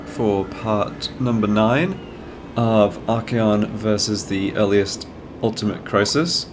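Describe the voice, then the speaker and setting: A man's voice: lowish voice, fairly even intonation, takes it slowly, noisy background. A man with a cold and a slightly nasal voice, thinking aloud as he plays a strategy game and explains each move. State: has a cold, sounds nasal. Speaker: gaming channel host. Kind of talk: casual strategy game commentary.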